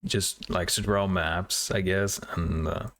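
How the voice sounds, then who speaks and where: A man's voice: sits low, steady tone, slow, fairly bright tone. A man hosting a casual reaction video, thinking aloud in hesitant fragments. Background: game trailer music.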